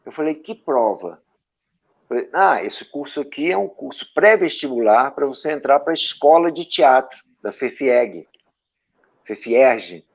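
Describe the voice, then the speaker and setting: Animated Brazilian man telling stories. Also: medium pitch, measured pace. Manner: lively anecdotal, frequent "né?" tags. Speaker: actor and director, guest. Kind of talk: storytelling interview answers. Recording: dull lo-fi audio.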